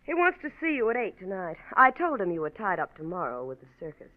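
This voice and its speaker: An actress playing a wry, jealous newsroom colleague in a 1940s radio drama, teasing and catty with a sarcastic edge. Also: female voice, on the low side, wildly swooping pitch, fast talker, no echo. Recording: narrow-band vintage radio recording.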